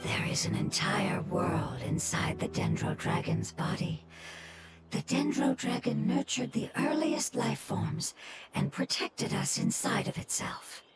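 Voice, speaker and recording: A woman voicing an ancient, gentle fungal creature that speaks in a soft, measured, near-whispered way in a video game. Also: mid-range voice, big pitch swings. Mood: solemn. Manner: measured, solemn, explains slowly. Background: game music.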